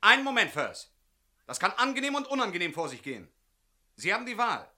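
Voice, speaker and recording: An actor playing a smug, menacing gang boss in a radio crime drama, calling out commandingly. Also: male voice, high-pitched, wildly swooping pitch, brisk, bright, forceful tone, full character voice. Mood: threatening.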